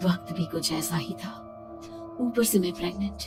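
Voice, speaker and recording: A woman's voice: fairly low pitch, normal speed, lightly acted. A woman playing a frightened young pregnant woman, telling her horror story in a suspenseful, emotional, theatrical first-person style. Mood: suspenseful dread. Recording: distant-sounding voice under loud music. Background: loud background music.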